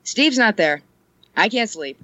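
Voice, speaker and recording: A woman's voice: mid-range voice, expressive, unhurried, sharp tone, no room sound, dull audio. A woman chatting casually on a podcast, rambling and joking, laughing often. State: ill. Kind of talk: casual chat.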